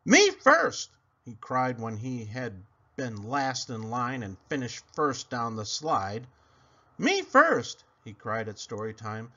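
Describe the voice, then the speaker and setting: A man reading a children's picture book aloud in an animated, dramatic, sing-song way. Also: mid-range voice. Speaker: read-aloud storyteller. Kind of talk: expressive children's story reading. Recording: dull lo-fi sound.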